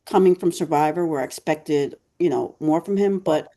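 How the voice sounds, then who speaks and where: A woman co-hosting a podcast in a casual, rambling chat, her voice going hoarse as she keeps clearing her throat. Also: low voice, normal speed, warm tone. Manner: chatty, rambling, many fillers. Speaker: podcast co-host.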